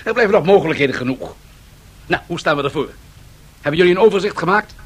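An actor playing a confident, defiant tycoon in a 1970s radio drama, theatrical and self-assured. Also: male voice, on the high side, expressive, fast, close to an everyday voice. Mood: breezily defiant. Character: confident, defiant male industrial tycoon and financier.

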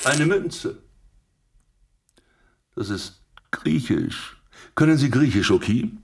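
Grouchy skeptical police inspector, radio play, blunt grumbling, very up and down melody, medium pitch. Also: male voice, sing-song, slow, vocal fry, breathy edge, exaggerated voice. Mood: skeptical, brisk. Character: gruff, grumpy, skeptical bachelor police commissioner. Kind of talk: German radio play acting.

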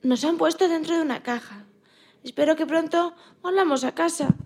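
A woman's voice: very high-pitched, normal speed, heavy background noise. A woman playing a frightened, innocent little female bunny in a children's radio play, with a childlike, naive way of telling her story. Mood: worried, innocent.

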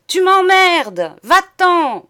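A woman's voice: extremely high pitch, big pitch swings, very slow, bright, forceful tone, voice pushed up, heavily acted. A woman voicing a furious, foul-mouthed bourgeois lady in a story, explosive and full of contempt. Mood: exasperated, shrill. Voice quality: hoarse, harsh shriek.

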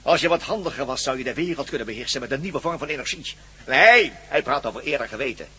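Bright-voiced, gloating villain captain. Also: male voice, mid-range voice, expressive, fast talker, husky, heavily acted. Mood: scornful. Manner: mocking, sardonic, barks orders. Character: gloating, commanding male villain captain bent on world domination. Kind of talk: radio drama acting.

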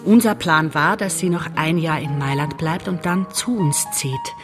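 Low-voiced, weary, bitter woman. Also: a little slow. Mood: bitter, resigned. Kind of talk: radio play monologue. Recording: music underneath the voice.

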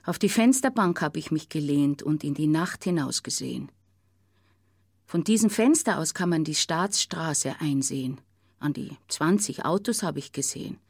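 An actress playing a parsonage resident who gives a formal, precise witness statement in a naturalistic radio play. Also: female voice, deep voice, lively intonation, husky, dry recording, studio quality, exaggerated voice. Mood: composed, exact. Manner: formal, precise, measured wording.

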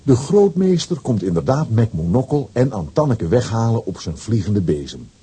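A man narrating a children's audio adventure story in a dramatic, scripted way with a dark, husky voice. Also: fairly low pitch.

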